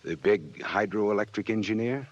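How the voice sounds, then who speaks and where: An actor playing a wry, tough but decent American private detective in a 1940s radio drama, clipped and slangy. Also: male voice, lowish voice, normal speed, natural voice. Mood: sardonic. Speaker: private detective and first-person narrator. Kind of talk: 1940s radio drama acting, hard-boiled narration. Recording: dull, lo-fi 1940s radio recording.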